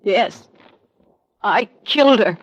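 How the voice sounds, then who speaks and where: A woman's voice: mid-range voice, lively intonation, takes it slowly. A jealous, embittered aging wife in an old radio melodrama. She speaks with defiant, dramatic weight, and the vintage recording is slightly dull. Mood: bitter confession. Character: bitter, jealous aging wife confessing to murder. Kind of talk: 1950s radio melodrama acting.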